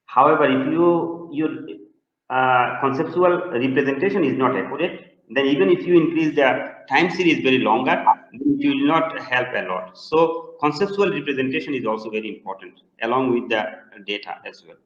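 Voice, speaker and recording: Hesitant researcher from Bangladesh, echoey call. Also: male voice, medium pitch, moderate pace. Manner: hesitant, many fillers and restarts. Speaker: presenting researcher answering questions. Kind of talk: webinar Q&A answers. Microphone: video call. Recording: echoey, dull lo-fi call audio.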